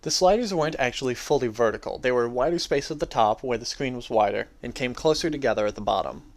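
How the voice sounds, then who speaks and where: A man's voice: medium pitch, quick pace. A young adult man giving a dramatic reading of his own first-person story.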